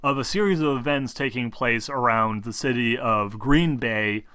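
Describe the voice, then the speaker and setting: Bright-voiced man running a tabletop game, dry sound. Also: medium pitch, smooth, no room sound. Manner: informal, sweary, halting. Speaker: game master. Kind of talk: narrating a tabletop roleplaying game.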